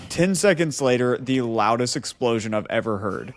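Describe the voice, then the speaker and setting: A man's voice: medium pitch, animated, moderate pace, heavy background noise. A man co-hosting a podcast, telling a story casually and animatedly, acting out the dialogue as he goes. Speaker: podcast co-host.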